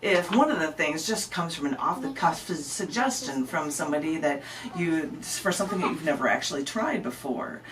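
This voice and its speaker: A woman's voice: deep voice, lively intonation, moderate pace, far from the mic, a crowd in the background. A woman, telling stories like a live spoken-word poet in an emphatic, conversational way. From American Midwest. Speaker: poet, interview guest. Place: radio station studio. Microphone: camera microphone at some distance.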